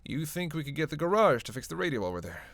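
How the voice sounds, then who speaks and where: An actor playing a reluctant, sardonic vampire in an audio roleplay, sarcastic and grumbling, swearing freely. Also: male voice, fairly high pitch, very animated, very fast, a bit bright, no echo. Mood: wry, teasing. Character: sardonic, reluctant male vampire, hungry, cursing, teasing. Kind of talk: solo audio roleplay addressed to the listener.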